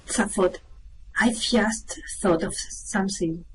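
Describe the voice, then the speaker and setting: A woman's voice: mid-range voice, slow, fairly bright tone, slight background noise, rough recording. A woman playing a level-headed, dryly unimpressed space traveler in a radio play, matter-of-fact in manner. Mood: patient, annoyed. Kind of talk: amateur radio play acting.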